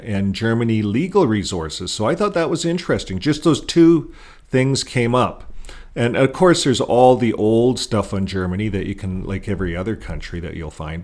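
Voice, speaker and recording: A man with a lowish voice talks through what he is looking at in a casual, rambling way. He moves between reading aloud and commenting, thinking out loud as an online commentator. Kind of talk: casual commentary while reading from web pages.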